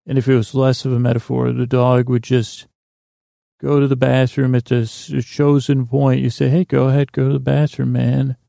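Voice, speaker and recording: A man hosting a sleep podcast in a lulling, soothing tone, meandering haltingly through a bedtime monologue with stammers and repeats. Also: mid-range voice, flat delivery, gravelly, warm tone, no echo, studio quality. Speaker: podcast host. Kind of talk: rambling bedtime monologue to lull listeners to sleep.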